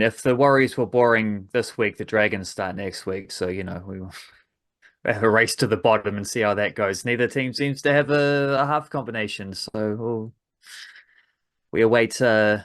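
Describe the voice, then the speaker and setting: A man's voice: fairly low pitch, moderate pace, a bit bright, no room sound. A man co-hosting a sports podcast, talking in a dry, wry, slightly hesitant way. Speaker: podcast co-host. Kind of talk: casual sports podcast chat.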